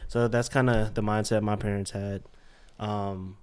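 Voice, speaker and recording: A man in his twenties from the Philippines, a podcast guest talking in a relaxed, friendly way and often saying man. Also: lowish voice, a little slow, smooth. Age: 20s. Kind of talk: casual interview.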